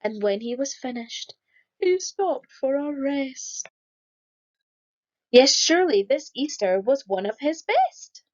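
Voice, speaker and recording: A woman reading a rhyming children's picture book aloud in a lively, sing-song storytelling manner. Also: high-pitched, takes it slowly, dull audio. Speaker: storybook reader.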